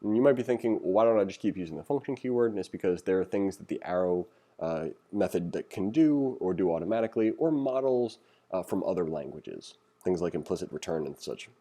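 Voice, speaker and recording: A man's voice: deep voice, expressive, brisk, mellow. A man giving a casual, conversational programming tutorial as instructor, reading along and adding opinionated, self-deprecating asides.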